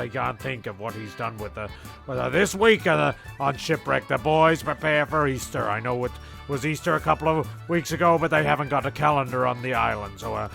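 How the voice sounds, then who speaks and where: Gravelly, bright-voiced man hosting comedy. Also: medium pitch. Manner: stammering, exasperated, mock-prim, sweary. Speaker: host and narrator of a comedy audio show. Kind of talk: mock-serious scripted narration with ad-libbed banter.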